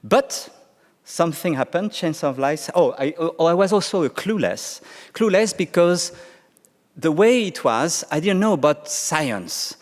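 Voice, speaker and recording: A man's voice: fairly high pitch, animated, measured pace, in a large hall. A man with a French accent, a scientist giving a conference panel talk, hesitant and full of restarts. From France. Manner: hesitant, many restarts and fillers. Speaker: panel speaker, Mars climate scientist. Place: conference hall.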